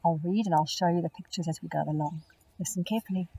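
A middle-aged woman teacher reading a picture book aloud to children in an expressive storytelling manner, recorded outdoors on a phone camera held close. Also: lowish voice, quick pace, slight background noise, low-quality recording. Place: outdoors in a grassy field.

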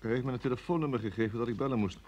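An actor playing a cool, formal security service interrogator in a radio play. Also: male voice, medium pitch, darkish tone, dull audio, slight background noise, natural voice. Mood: businesslike. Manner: formal, measured.

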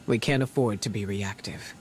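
An actor playing a grave, composed military spymaster giving a serious, measured briefing in a video game. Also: male voice, fairly low pitch, darkish tone, slight background noise, lightly acted. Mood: serious, concerned. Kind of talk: video game cutscene dialogue. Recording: game music behind.

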